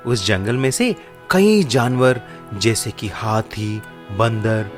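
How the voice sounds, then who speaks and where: Slow, expressive male story narrator. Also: mid-range voice. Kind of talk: scripted children's story narration.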